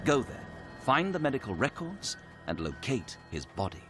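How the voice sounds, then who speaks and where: A man's voice: mid-range voice, big pitch swings, moderate pace, close to an everyday voice. An actor playing a calculating, ominously polite male scientist in a game cutscene, speaking formally and theatrically. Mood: calculating, courteous. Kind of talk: scripted game cutscene dialogue. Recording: music behind the voice.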